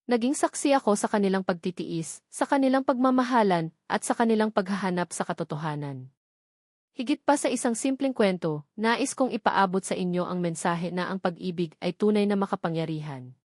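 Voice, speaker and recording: A woman's voice: mid-range voice, animated, a little fast, darkish tone, no echo, clean studio sound. A woman reading a scripted dramatic story in an earnest, sentimental manner. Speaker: story narrator.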